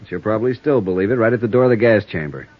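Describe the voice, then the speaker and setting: An actor playing a calm, probing police sergeant questioning a suspect in an old radio drama. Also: male voice, on the low side, rapid-fire, no echo. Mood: steady. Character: steady middle-aged male police sergeant interrogator. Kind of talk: 1950s radio drama acting. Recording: dull old radio broadcast.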